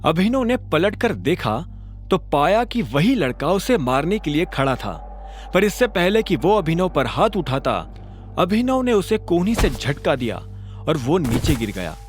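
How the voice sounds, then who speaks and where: A man tells a scripted audio story as its dramatic narrator. His voice sits a little high and moves in a lively, animated way. Faint music plays behind him. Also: a little slow.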